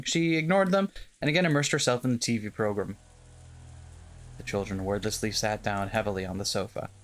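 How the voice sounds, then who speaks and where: Streamer reading a mystery game aloud. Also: medium pitch. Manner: wry, jokey asides between steady reading. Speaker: player reading a visual novel aloud.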